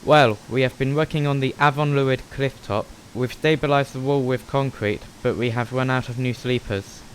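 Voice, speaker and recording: A man's voice: medium pitch, fairly even intonation, moderate pace, slight background noise, close to an everyday voice, poor audio. A man voicing a plainspoken railway track worker in an audio drama, reporting in a matter-of-fact way.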